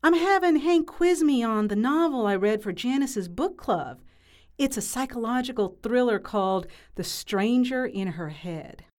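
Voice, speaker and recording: Woman acting an eager bookworm, highish voice. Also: lively intonation, unhurried, a little mellow. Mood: eager, proud. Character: self-important, eager bookworm Southern woman. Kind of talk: audio drama acting.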